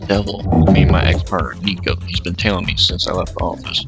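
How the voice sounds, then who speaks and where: Brief: male streamer, deep gravelly voice, reads game text aloud, loud game music behind. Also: fairly quick. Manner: reads the game text, with short asides of his own. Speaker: gameplay streamer reading the visual novel aloud. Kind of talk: reading game dialogue aloud over gameplay. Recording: game music playing loudly underneath.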